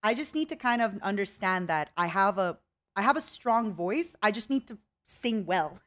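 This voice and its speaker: A woman's voice: medium pitch, expressive, normal speed, a bit bright. A young woman streaming a casual chat with viewers, rambling and reading out chat messages. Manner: chatty, rambling, reads chat aloud.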